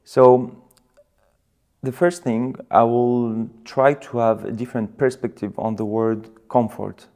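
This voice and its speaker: A young man from France, whose mother tongue is Suret, giving thoughtful interview answers and often pausing to search for words. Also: mid-range voice, steady tone, mellow. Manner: reflective, searching for words with many fillers. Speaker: guest, founder.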